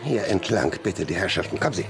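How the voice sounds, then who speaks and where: An actor playing an arrogant, hot-tempered, callous gentleman in a radio play, haughty and sneering. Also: low voice, very animated, quick pace. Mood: cold, sneering. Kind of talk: radio drama acting. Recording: music behind the voice.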